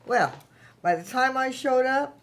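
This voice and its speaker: A woman's voice: high voice, lively intonation, a little slow. An actress as a polished, self-assured woman boss. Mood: self-satisfied, chatty. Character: chatty, self-assured small-company boss pitching her business. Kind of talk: staged reading of a workplace satire.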